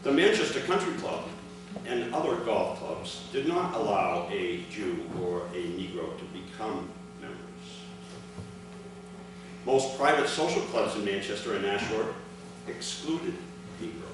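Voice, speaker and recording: A middle-aged man reading a prepared formal speech aloud to a gathering, with deliberate phrasing and emphatic sentence openings. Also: fairly low pitch, sing-song, distant mic. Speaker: speaker reading his father's prepared account. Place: large indoor room, addressing a gathering. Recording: echoey, noisy hall recording from afar.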